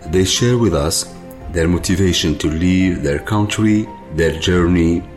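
Male narrator, very low voice, measured scripted read, soft music bed. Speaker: podcast narrator.

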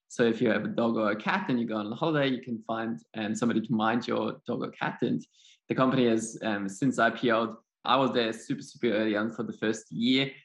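A man's voice: medium pitch, fairly bright tone. A man from Austria with a German accent, answering interview questions in an informal, modest, conversational way. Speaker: podcast guest, startup accelerator chief executive.

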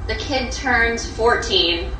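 A woman's voice: on the high side, takes it slowly, pushed and bright, a little hiss or hum. A woman in her thirties in a serious personal conversation. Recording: roomy, distant mic, faint music.

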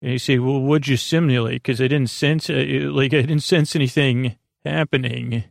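Creaky-voiced man, rambling bedtime podcast host. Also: mid-range voice, fairly even intonation, no room sound, studio quality. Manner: meandering, halting, self-deprecating, gently absurd tangents. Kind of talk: rambling, soothing bedtime monologue.

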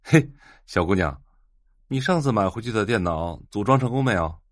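Man playing a teasing low-voiced shop owner. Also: lively intonation, moderate pace, dry recording, studio quality, close to an everyday voice. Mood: amused. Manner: jovial, mocking, lecturing. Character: teasing, preachy young male electronics stall owner.

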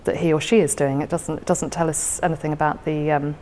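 A woman's voice: sits low, little pitch movement, fairly quick, mellow, in a large hall, slight background noise. A woman talking reflectively about poetry as an interview guest, thoughtfully repeating words as she goes. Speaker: podcast guest discussing a favourite poem.

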